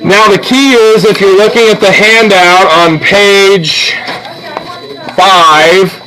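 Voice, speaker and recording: A man's voice: high voice, steady tone, slow pace, sharp tone, poor audio. A man teaching a drawing lesson step by step in a casual way, often checking that his listeners follow. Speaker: art teacher.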